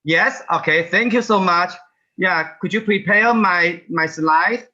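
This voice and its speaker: Guy presenting on a webinar, high voice, slow, keeps saying yeah, muffled call audio. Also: sharp tone. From Taiwan. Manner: halting, many yeah fillers and restarts. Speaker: guest presenter. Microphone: video call.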